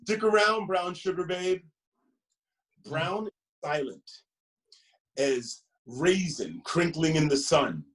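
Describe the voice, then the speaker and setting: A man reading a children's picture book aloud expressively, with gentle emphasis and friendly asides to the listener. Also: fairly high pitch, animated, slow, long pauses, soft background music. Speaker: storybook reader.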